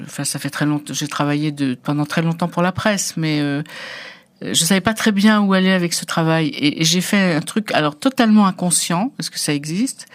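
A middle-aged woman photographer from North Africa, a native Arabic speaker, telling her story as a guest with many hesitations and restarts. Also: low voice. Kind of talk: personal reflective interview talk.

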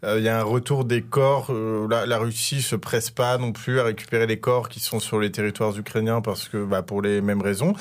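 A man's voice: on the low side, flat delivery, moderate pace, few pauses. A man, an author and interview guest, explaining at length with frequent hesitations. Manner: fluent explanatory, many "euh" fillers. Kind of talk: radio interview.

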